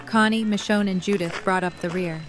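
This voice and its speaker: A woman's voice: medium pitch, fairly even intonation, normal speed, soft background music. A woman narrating a scripted story for an audio drama.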